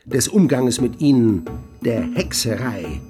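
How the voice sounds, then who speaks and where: A man playing a grandiloquent scholar in a radio play, recounting witchcraft lore with relish in declamatory, archaic phrasing and emphatic pauses. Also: medium pitch, very animated, over music. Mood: declamatory, accusing. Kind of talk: theatrical literary storytelling in a radio play.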